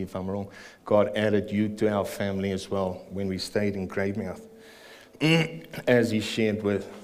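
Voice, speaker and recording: Nervous South African man, low voice. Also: a little mellow. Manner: nervous, earnest, with halting fillers. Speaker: church member giving testimony. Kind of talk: personal testimony and prayer in church. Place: church service.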